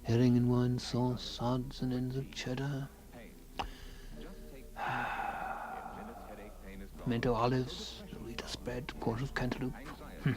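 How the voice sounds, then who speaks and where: A man's voice: medium pitch, completely flat delivery, slow pace, long pauses, music in the background, close to an everyday voice, rough recording. An actor playing a fussy, self-absorbed husband in a radio comedy-drama, rambling and savouring small things, stoic about his creeping paralysis. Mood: wistful relish.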